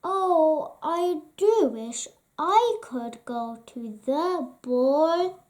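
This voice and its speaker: A woman voicing a gentle, hopeful young girl in a read-aloud storybook, speaking softly. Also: high-pitched, lively intonation, drawn-out words, pitched above the performer's own voice, heavily acted. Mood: wistful.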